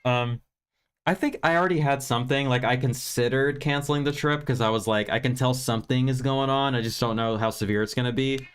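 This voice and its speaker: A man's voice: mid-range voice, a little fast, clear, resonant tone. A tired American man getting over stuffy sinuses, chatting casually on a livestream in a rambling, candid, self-deprecating way with some swearing. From United States (Virginia). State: tired, recovering from sinus congestion. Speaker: livestream film review host.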